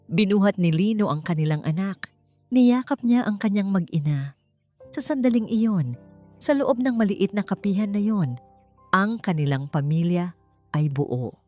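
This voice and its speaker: A woman's voice: fairly low pitch, a little mellow. A woman narrating a romance story in a scripted, dramatic storytelling style. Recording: music under the voice. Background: background music.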